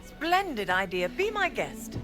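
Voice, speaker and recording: An actress playing a genteel, shrewd dame and museum benefactor in a video game, speaking formally and theatrically. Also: female voice, fairly high pitch, sing-song, unhurried, over loud music. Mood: gracious, earnest. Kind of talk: video game cutscene acting.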